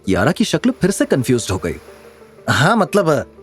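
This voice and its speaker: Animated male dramatic story narrator. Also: mid-range voice, fast. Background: music.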